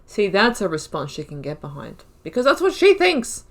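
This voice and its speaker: A woman's voice: medium pitch, wildly swooping pitch, moderate pace. A woman hosting a game playthrough, chatting casually, swearing and reading dialogue choices aloud. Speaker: gaming video host. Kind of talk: casual let's play commentary.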